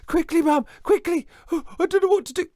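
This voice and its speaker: A man voicing a panicked young boy who shouts frantically for his mother. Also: normal speed, no room sound, studio quality. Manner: high-pitched frantic shouting.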